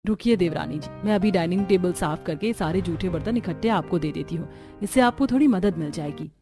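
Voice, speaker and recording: A woman voicing a timid, polite and hesitant elder sister-in-law in an animated story. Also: fairly low pitch, a little fast, faint music behind, voice pushed down, lightly acted. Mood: meek, polite.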